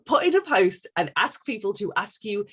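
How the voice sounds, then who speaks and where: A woman's voice: medium pitch, very animated, normal speed, a bit bright, slightly rough. A woman hosting a casual interview, reading out questions and playfully teasing her guest. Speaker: podcast interviewer.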